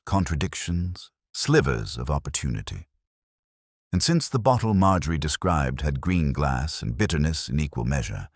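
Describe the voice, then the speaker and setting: Very deep-voiced man narrating detective fiction. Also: no echo, clean studio sound. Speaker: audiobook narrator. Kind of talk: first-person hardboiled detective narration.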